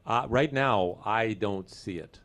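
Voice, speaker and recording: A man chatting casually as a talk show host, trading banter in a relaxed conversation. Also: fairly low pitch, takes it slowly.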